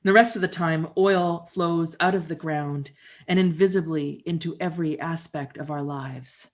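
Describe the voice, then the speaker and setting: A woman with a somewhat low voice gives a dramatic reading. She tells her story in an unhurried, reflective way, and the pacing is measured for performance. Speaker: storyteller and musician. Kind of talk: dramatic reading of documentary theater.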